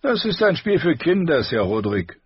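Man playing a high-voiced, sneering spoiled boy prince. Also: sing-song, measured pace, breathy edge, no room sound, dull audio, exaggerated voice. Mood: bored disdain. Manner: arrogant drawl, mocking.

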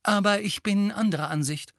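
A man voicing an opinionated, bookish teenage girl in an audiobook, speaking promptly, clearly and assertively. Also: sits high, normal speed, a bit bright, no echo, studio quality, pitched above the performer's own voice. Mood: defiant.

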